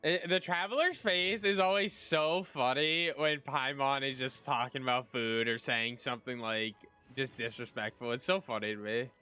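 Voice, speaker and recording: A man giving casual gameplay commentary, informally reacting and thinking out loud as he plays. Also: mid-range voice, normal speed, few pauses, smooth. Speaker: gaming content creator. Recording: game music behind the voice.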